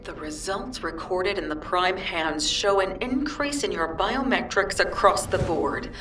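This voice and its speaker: An actress playing an earnest, composed scientist in a video game, speaking formally in measured, clinical phrases. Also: female voice, lowish voice. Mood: businesslike. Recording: roomy, distant, loud music behind.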